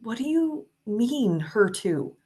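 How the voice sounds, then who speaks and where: A woman's voice: a little high, big pitch swings, slow, dark tone, slightly rough, heavily acted. A woman playing a caring, anxious young friend on a rescue, speaking earnestly and urgently in a screenplay table read.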